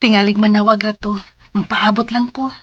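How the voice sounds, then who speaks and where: A woman's voice: medium pitch, flat delivery, normal speed, lo-fi sound, natural voice. An actress playing a trusting, desperate young female job seeker in a radio drama, with a Cebuano accent. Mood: anxious. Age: young adult. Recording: music bed behind.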